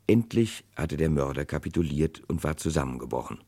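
An actor narrating a 1960s radio crime play as a grave chronicler, with dramatic, deliberate delivery. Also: male voice, very deep voice, animated, mellow, husky, full character voice. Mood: grave, suspenseful. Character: grave male chronicler of a historic murder case.

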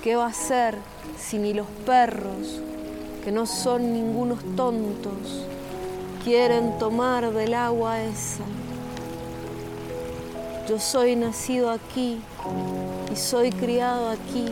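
A weathered, stubborn countryman, put on by a woman, speaks in a plain rural manner, slightly high and held level. His lines drift unhurried over loud music and falling rain. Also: little pitch movement. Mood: stubborn, mournful. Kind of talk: poetic recitation over music.

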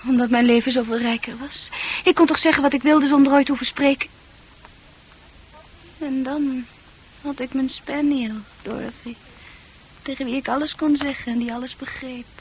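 High-voiced, dreamy young woman played by an actress. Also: steady tone, moderate pace, long pauses, a little hiss or hum, natural voice, low-quality recording. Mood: wistful, confiding. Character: dreamy, sensitive, troubled young female confiding her secrets. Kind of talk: Dutch radio play acting.

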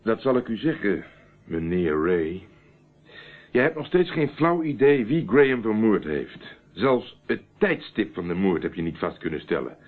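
Low, husky, defiant investigator. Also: male voice, big pitch swings, measured pace. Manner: curt, dry, stubborn. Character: defiant, evasive male amateur investigator under police questioning. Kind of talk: radio play acting. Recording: muffled, narrow-band old radio recording.

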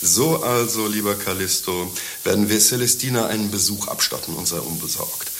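A man's voice: lowish voice, normal speed, a bit bright, slight background noise. A man playing a worldly, sly confidant in a German radio play, declaiming with teasing emphasis. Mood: reassuring. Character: worldly, sly male schemer, cheeky confidant to a friend.

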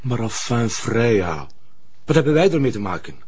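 An actor playing a wary, doubtful brother in a radio play, with a Flemish accent. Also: male voice, mid-range voice, sing-song, moderate pace, a little mellow, breathy edge.

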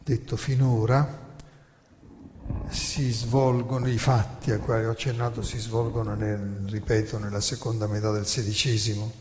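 A man's voice: fairly low pitch, little pitch movement, noisy background. Man narrating theatrically in a reverberant hall. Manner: rhetorical, deliberate, emphatic phrasing with dramatic pauses. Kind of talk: theatrical historical lecture monologue.

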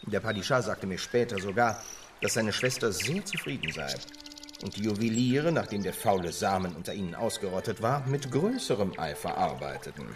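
An actor plays a cold court official in a radio play. His lowish voice moves widely in pitch yet stays formal, a little distant amid clear background sounds. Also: animated, normal speed, music in the background, natural voice. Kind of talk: German radio play acting.

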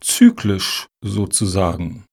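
Male actor as genteel activist, husky, lowish pitch, lively inflection, wry, satirical radio play. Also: slow, heavily acted. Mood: complacent. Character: smooth-talking, high-minded male cultural activist. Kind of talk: German radio satire acting.

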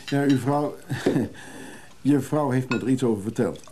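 An actor playing a modest, wistful middle-aged hunter of antique musical machines in a radio drama, husky and low-key, musing hesitantly. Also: male voice, measured pace, mellow, a little hiss or hum, close to an everyday voice. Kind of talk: naturalistic radio drama dialogue.

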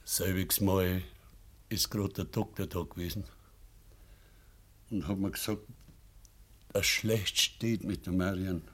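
An actor playing a stern, embittered farmer and father in a Bavarian dialect radio play, gruff and deliberate. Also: deep voice, steady tone, a bit bright, exaggerated voice. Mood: heavy, resigned.

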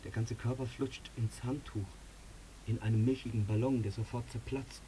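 An actor playing a tormented, guilt-ridden air traffic controller in a radio drama, speaking in a halting, pleading way. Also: male voice, medium pitch, fairly even intonation, warm tone, close to an everyday voice, low-quality recording. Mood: haunted.

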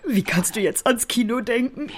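An actress playing a hysterical, intolerant mother with drawn-out, melodramatic delivery in a German radio drama. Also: on the high side, a crowd in the background, natural voice. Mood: outraged.

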